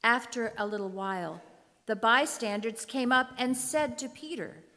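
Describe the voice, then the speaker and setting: A woman narrating scripture in a deliberate, clearly phrased way, in a reverberant hall. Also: a little high, sharp tone. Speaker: narrator in a church readers' theater.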